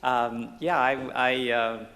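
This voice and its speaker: A middle-aged man from Taiwan, a business professor on a panel stage, telling his life story in a halting way with many restarts and fillers. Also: medium pitch, steady tone, a bit bright. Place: lecture stage under bright lights before an audience.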